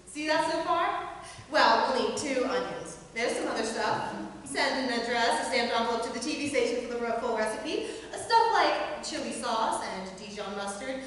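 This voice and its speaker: Woman acting a high-pitched, chirpy cooking-show hostess, echoey hall. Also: lively intonation, close to an everyday voice. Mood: chirpy, coy. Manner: coy, theatrical, drawn-out emphasis and giggles. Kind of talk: comic stage monologue. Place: indoor stage before an audience. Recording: very echoey hall, distant mic, faint noise.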